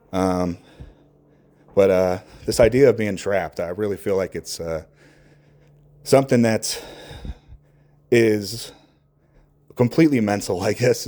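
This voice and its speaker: Low-voiced man, halting and candid. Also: warm tone. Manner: halting, reflective, searching for words; swears casually. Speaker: podcast host. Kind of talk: candid unscripted monologue, casual and confessional.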